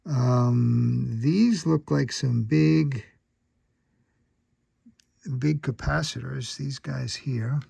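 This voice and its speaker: A man explains repair work step by step in an unscripted, methodical way. His delivery is slow and halting, broken into short phrases, with a creaky, gravelly edge. The sound is very dry and close, with little room in it. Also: medium pitch, dark tone, no echo. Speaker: radio restorer and presenter. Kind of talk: unscripted how-to narration of a repair.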